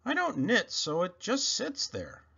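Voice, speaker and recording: Kind, obliging storybook lady, played by a male reader, with very animated pitch and a slightly husky edge. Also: unhurried, highs cut off, heavily acted. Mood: kind, agreeable. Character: Cherry Sue, kind, agreeable female storybook friend. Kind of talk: children's read-aloud character voice.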